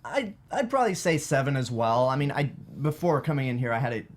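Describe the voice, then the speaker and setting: A man with an average-pitched voice in a casual review discussion. He explains his opinions conversationally, often restarting a phrase before getting to the point. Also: animated, quick pace. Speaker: film reviewer on a panel.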